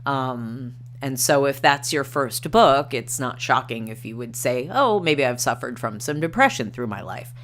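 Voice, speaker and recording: A woman's voice: a bass voice, animated, unhurried, few pauses, fairly bright tone. A woman hosting a livestream, chatting casually and reading articles aloud with frequent ums and uhs. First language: not Norwegian. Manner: hesitant, many ums and uhs, reads quotes aloud. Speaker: livestream host.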